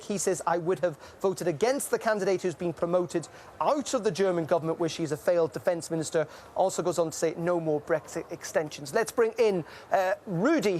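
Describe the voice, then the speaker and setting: A man hosts a live news broadcast, speaking unscripted and filling pauses with frequent ums and uhs. He sounds conversational rather than polished, with a faint layer of noise behind him. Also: high voice, a little mellow. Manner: loose, filler-heavy live delivery. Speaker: news presenter.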